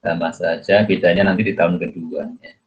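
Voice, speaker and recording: Male lecturer, deep voice, halting and repetitive explainer delivery, dull lo-fi recording. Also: fairly even intonation. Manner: halting, repetitive, punctuates phrases with a checking tag. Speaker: accounting lecturer. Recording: dull, muffled lo-fi audio.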